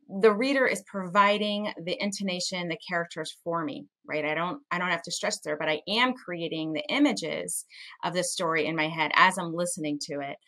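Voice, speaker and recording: Bright-voiced middle-aged woman explaining. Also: on the low side. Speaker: panel guest, author. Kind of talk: explanatory conversation. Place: small home room, indoors. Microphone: headset on video call.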